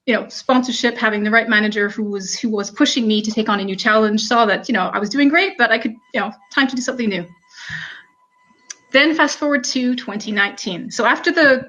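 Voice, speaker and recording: A woman in her thirties with a Canadian accent, telling her career story in a relaxed talk full of ums and "you know". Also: mid-range voice. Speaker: panel speaker. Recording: muffled lo-fi audio.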